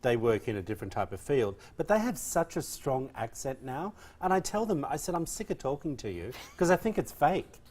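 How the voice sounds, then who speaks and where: A woman with a soft Australian accent co-hosting a casual daytime talk show, chatty and quick to laugh, stretching out words for effect. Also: rumbling low, big pitch swings, slight background noise. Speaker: co-host. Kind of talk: casual chat.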